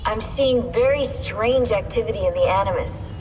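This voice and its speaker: A woman's voice: on the high side, moderate pace, over music, natural voice. A woman playing a watchful, weary computer technician in a naturalistic video game scene, heard from afar in long reverb. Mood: concerned, matter-of-fact. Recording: distant, long echoing reverb.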